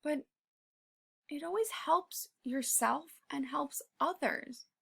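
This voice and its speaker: A woman reading a children's picture book aloud, pausing to talk to young listeners in an encouraging way and sometimes dropping to a whisper. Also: sits high, gravelly. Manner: drops to a whisper at quiet moments. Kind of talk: read-aloud with commentary for kids.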